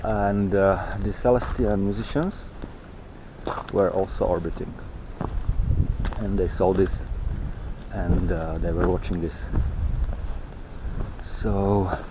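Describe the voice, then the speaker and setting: A man giving an unscripted, rambling monologue while walking outdoors, halting and fragmented as he searches for words. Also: low-pitched, steady tone, noisy background. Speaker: vlogger. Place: outdoors on a walk in nature.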